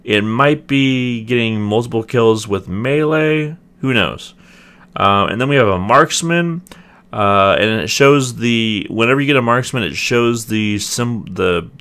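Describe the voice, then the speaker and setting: A young man with a slightly raspy voice, casually reviewing a video game and hedging often. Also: lowish voice, fairly bright tone. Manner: rambling, hedging, many ums and restarts. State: clearing throat, voice getting raspy. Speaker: gaming video host. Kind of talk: casual gameplay review commentary.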